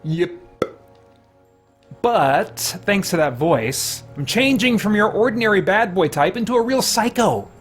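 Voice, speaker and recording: A man's voice: high-pitched, expressive, a little slow, pitched above the performer's own voice. Man reading as a brash young monster fighter. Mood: flippant. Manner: flippant, brash, casual. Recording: music playing behind the voice.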